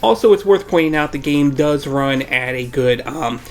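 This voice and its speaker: A man reviews a video game in a loose, chatty way while fighting a cold. He wanders through his points with hesitant pauses and often tacks a trailing "though" onto his sentences. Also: fairly high pitch, soft background music. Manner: frequent hesitant "um" fillers. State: has a cold. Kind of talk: casual spoken game review.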